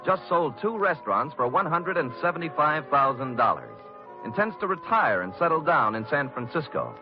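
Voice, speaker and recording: A man's voice: fairly high pitch, sing-song, normal speed. An American man playing a tough, wisecracking private detective in an old-time radio noir drama, speaking in a wry, clipped manner. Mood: wry, recounting. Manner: hard-boiled, wry, clipped. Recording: dull vintage radio recording with music.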